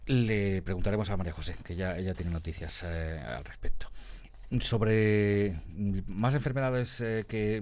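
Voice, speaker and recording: A man hosting a casual radio chat, halting and hesitant as he asks his guest questions. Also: deep voice, darkish tone. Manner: hesitant, many fillers and restarts. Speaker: radio host.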